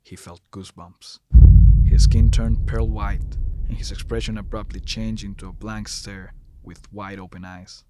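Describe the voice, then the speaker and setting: A man's voice: on the low side, flat delivery, a little slow, background noise. A man narrating a scripted horror story as the narrator, recorded close to the mic.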